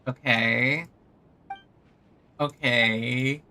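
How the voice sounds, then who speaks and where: Bright-voiced streamer muttering through a game. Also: mid-range voice, steady tone, drawn-out words. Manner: reactive, muttering to self. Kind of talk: live gameplay commentary, reading dialogue aloud.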